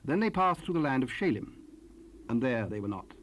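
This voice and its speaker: A man narrating scripture from a script in a formal, measured way. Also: mid-range voice, very animated. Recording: hissy 1970s cassette rip.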